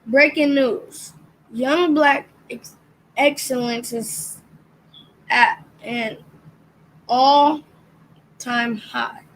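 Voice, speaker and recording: A young reader reading a picture book aloud, carefully and with occasional stumbles on words. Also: female voice, high-pitched, steady tone, drawn-out words, long pauses, low-quality recording. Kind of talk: slow read-aloud.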